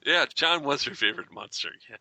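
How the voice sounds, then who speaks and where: A man's voice: medium pitch, expressive, fairly quick, vocal fry, pushed and bright, no room sound. A man chatting casually as a panelist on a fan podcast.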